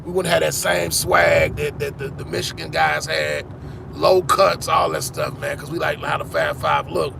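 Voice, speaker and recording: Host sportivo sulla quarantina, afroamericano, voce gracchiante e cavernosa, rumore di traffico dietro. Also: male voice, on the high side, expressive, normal speed, gravelly, noisy background. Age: 40s. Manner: rambling, repeats "man", restarts often. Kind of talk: casual live-stream chat with viewers.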